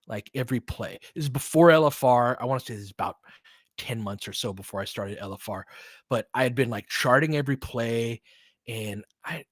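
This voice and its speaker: A podcast host chatting casually with viewers, telling a rambling story full of fillers and restarts. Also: male voice, mid-range voice, breathy edge, dry recording, studio quality. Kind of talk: casual livestream chat answering viewers.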